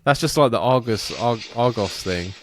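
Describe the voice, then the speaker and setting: A man's voice: medium pitch, expressive, moderate pace, slight background noise. A young man co-hosting a podcast, giggly and self-deprecating, swearing casually. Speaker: podcast co-host. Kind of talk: casual banter.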